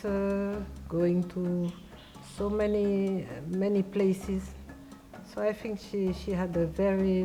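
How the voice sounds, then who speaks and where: Soft-voiced woman recalling, flat tone. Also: mid-range voice. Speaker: interviewee, mother. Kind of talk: documentary interview.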